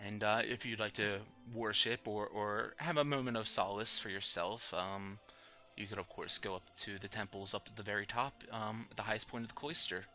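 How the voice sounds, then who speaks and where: Courteous island host played by a man for a fantasy tabletop game: bright, forward tone, self-assured, halting phrasing, faint music underneath. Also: lowish voice. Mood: gracious, hesitant.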